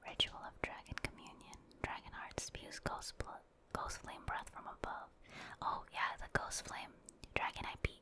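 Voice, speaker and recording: Whispering woman, ASMR gaming commentary. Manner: soft, unhurried, musing aloud. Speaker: gaming streamer. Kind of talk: whispered ASMR video game playthrough commentary.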